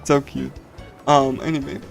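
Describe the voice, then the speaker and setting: A man streaming a casual visual novel readthrough, chatting in a halting, giggly, enthusiastic fan's way. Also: medium pitch, little pitch movement, creaky. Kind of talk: casual livestream chat with loose readthrough. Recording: music playing behind the voice. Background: game soundtrack music.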